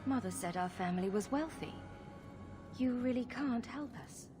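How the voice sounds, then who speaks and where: A woman's voice: fairly high pitch, animated, normal speed, over music, natural voice. An actress playing a wry young woman refugee in a video game cutscene, dry and sarcastic.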